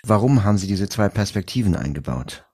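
A man conducting a conversational interview, asking in a halting way and rephrasing as he goes. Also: lowish voice, sing-song, mellow, slightly rough.

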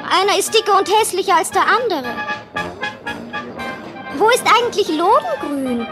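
A woman's voice: very high-pitched, very animated, normal speed, music in the background. A woman voicing a young, spoiled hare princess who whines and asks questions, in a radio play. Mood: quengelig. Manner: quengelig, fragend. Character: junge, verwöhnte Hasenprinzessin. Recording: Hörspielausschnitt mit Musik. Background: Musik.

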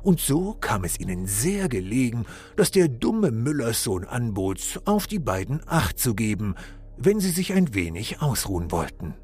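A man playing a solemn fairy-tale storyteller, giving scripted narration in a dramatic, mock-solemn, measured manner. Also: mid-range voice, big pitch swings, a little mellow. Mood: hushed, storytelling.

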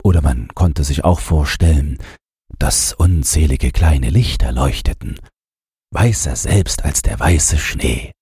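A man reading a fairy tale as an audiobook narrator, in a gentle bedtime style. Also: rumbling low, lively intonation, takes it slowly, breathy edge, no room sound, studio quality.

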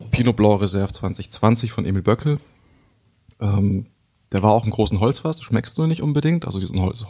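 A man's voice: fairly low pitch, darkish tone. A man presenting and describing wine with enthusiasm and plenty of descriptive words. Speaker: wine expert.

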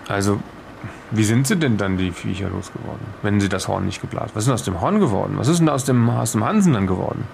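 A man's voice: lowish voice, expressive, quick pace, vocal fry, darkish tone, a little hiss or hum. A man playing a puzzled, probing listener who keeps questioning to piece together a mystery, in an improvised in-character audio drama. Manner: questioning, insistent.

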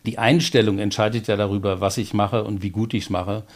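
Middle-aged man, measured explainer, guest coach, slight hesitations. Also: fairly low pitch, fairly even intonation. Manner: tells personal anecdotes to make points. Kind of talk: interview conversation.

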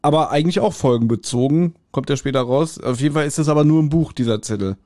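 A man's voice: a little high, quick pace, a little mellow, dry recording. Slangy man, close dry sound. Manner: slangy, blunt. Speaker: podcast co-host. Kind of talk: casual chat reviewing an audio drama. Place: remote recording at a computer, on video call.

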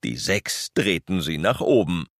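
Man, children's story narrator, very lively intonation, suspenseful, dry close studio sound. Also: sits low, unhurried, dry recording. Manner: expressive, suspenseful. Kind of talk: scripted storytelling for children's audio play.

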